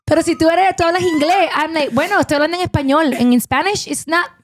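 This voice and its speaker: A woman's voice: very high-pitched, fast, dry recording, studio quality. A woman with a Cuban accent co-hosting a podcast, chatting animatedly and dropping Spanish words as she talks. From Cuban-American. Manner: animated, switches into Spanish words, laughs often. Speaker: podcast co-host. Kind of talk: casual chat.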